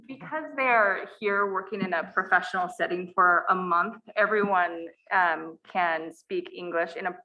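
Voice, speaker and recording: Bright-voiced woman from program staff. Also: fairly low pitch, poor audio. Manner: clear and measured, with some ums. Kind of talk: explaining at a meeting.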